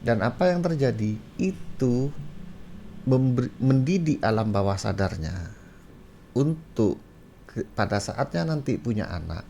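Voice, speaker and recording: Man, creaky voice, deliberate conversational delivery, talk show guest, slight background noise. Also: mid-range voice, expressive, mellow. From Madura, Indonesia. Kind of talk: conversational personal anecdote.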